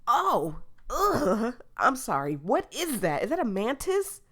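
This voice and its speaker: A woman's voice: a little high, wildly swooping pitch, takes it slowly, a bit bright, slightly rough. A woman, sick and losing her voice, reading a dating game aloud with giggly, playful asides to viewers. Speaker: gaming streamer.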